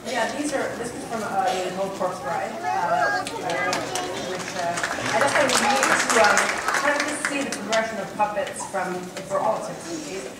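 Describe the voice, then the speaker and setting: A woman's voice: on the high side, sing-song, unhurried, hardly any pauses. Middle-aged woman chatting on stage, lots of ums, far off, crowd noise. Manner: casual, chatty, with fillers. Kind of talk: explaining on a panel. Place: theater stage. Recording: echoey hall heard from far.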